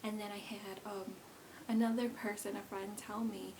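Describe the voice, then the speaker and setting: A woman in her thirties chats through a beauty vlog in a loose, rambling way, full of fillers and restarts. Her voice has a gravelly, creaky texture at a middle pitch. A faint hiss sits under the recording. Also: flat delivery, moderate pace, a little mellow. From South Korea. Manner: chatty and rambling, many fillers. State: pregnant. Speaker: beauty vlogger. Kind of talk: casual talk to camera.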